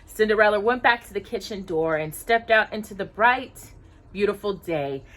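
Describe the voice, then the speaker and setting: A woman reading a children's storybook aloud in an expressive, animated storyteller's manner. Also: mid-range voice, normal speed. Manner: animated, asks listeners questions.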